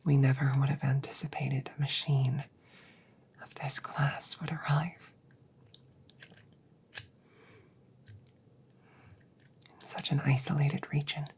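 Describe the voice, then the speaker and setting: A woman playing a wounded, stoic android soldier in a whispered ASMR audio drama, speaking formally and haltingly in a hushed, breathy voice. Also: very deep voice, level tone, full character voice. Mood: subdued, sorrowful. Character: wounded female android soldier, polite, stoic, quietly grieving.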